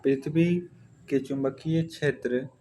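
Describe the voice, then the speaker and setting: A man's voice: medium pitch, fairly even intonation, measured pace, dark tone, faint music behind. An Indian man teaching exam practice, reading out questions and following each with a short answer.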